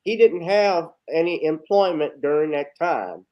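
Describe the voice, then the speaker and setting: A man with a fairly high voice hosts a panel discussion. He moderates in a casual, conversational way and adds comments of his own. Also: unhurried, lo-fi sound. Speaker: talk show host.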